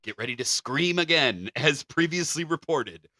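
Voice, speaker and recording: Guy reading showbiz news on a podcast, bright forward voice, super dry sound. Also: on the high side, animated, moderate pace, dry recording. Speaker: podcast co-host. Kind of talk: reading entertainment news with casual banter.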